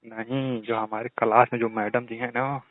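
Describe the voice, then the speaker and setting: A man's voice: mid-range voice, flat delivery, normal speed, no echo. Young Bhojpuri-accented man on a phone line. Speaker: student. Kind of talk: casual phone chat, telling anecdotes. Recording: narrow, tinny phone call recording.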